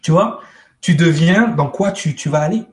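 A man's voice: a little high, moderate pace, darkish tone. A man speaking informally as a guest on a live spiritual web show, acting out little exchanges as he explains. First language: French.

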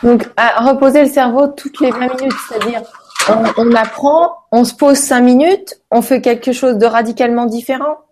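A woman's voice: on the high side, steady tone, normal speed, background noise. A woman taking part in a panel discussion, summarizing what the speaker said in a conversational way.

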